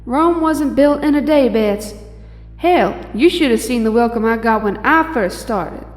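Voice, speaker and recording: A high-voiced Southern heroine, echoing. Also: female voice, expressive, unhurried. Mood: reassuring. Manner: folksy, easygoing. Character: breezy, kind young Southern belle superheroine. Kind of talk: audio drama acting. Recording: echoey hall reverb, music underneath.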